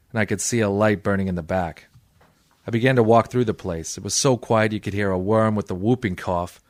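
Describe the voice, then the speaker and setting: Guy doing a hardboiled radio private eye, low dry voice, deadpan wisecracks, real cynical. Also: no room sound, close to an everyday voice. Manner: deadpan, sardonic. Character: hard-boiled wisecracking male private detective, cynical and tough. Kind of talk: old-time radio detective acting with first-person narration.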